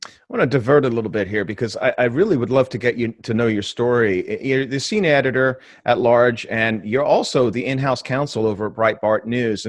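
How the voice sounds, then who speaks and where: A man's voice: mid-range voice, dry recording. A man from England hosting a political podcast, asking long, rambling conversational questions. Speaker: podcast host.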